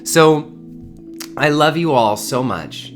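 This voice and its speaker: A man's voice: on the high side, animated, slow pace, soft background music. A man in his early thirties hosting an informal online spiritual community gathering, talking effusively and repeating words for emphasis. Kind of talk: informal livestream talk to a community.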